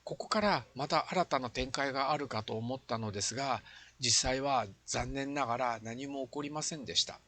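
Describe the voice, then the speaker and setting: A man's voice: medium pitch, moderate pace, hardly any pauses, sharp tone. An older man, speaking earnestly and explaining as he looks back in an interview. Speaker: former amateur filmmaker, interviewee. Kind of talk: reflective retrospective interview talk.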